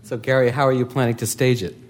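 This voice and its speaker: A man's voice: mid-range voice, level tone, fast. A man moderating a panel discussion, asking articulate questions.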